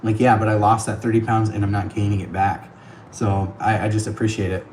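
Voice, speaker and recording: A man's voice: fairly low pitch, flat delivery, a little fast, darkish tone, echoey room, slight background noise. Chatty man hosting a livestream. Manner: chatty, thanks viewers by name, laughs often. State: voice getting worn. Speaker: livestream host. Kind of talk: casual livestream chat with viewers. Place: on the road, streaming from an iPad. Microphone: tablet mic.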